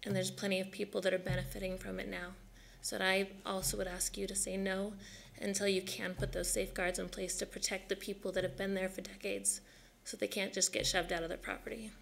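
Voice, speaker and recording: A woman pastor giving a steady, earnest public comment at a city council meeting. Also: mid-range voice, a little fast, vocal fry, a little hiss or hum.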